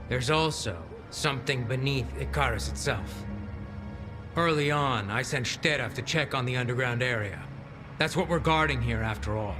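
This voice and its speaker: A man playing a gruff, blunt, foul-mouthed military commander in a video game cutscene. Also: mid-range voice, natural voice. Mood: grim, suspicious.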